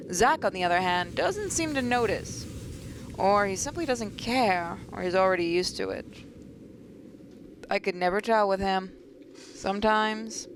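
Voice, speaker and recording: A woman's voice: mid-range voice, vocal fry, background noise. A woman reading a visual novel aloud as narrator, now and then stumbling and rereading a phrase.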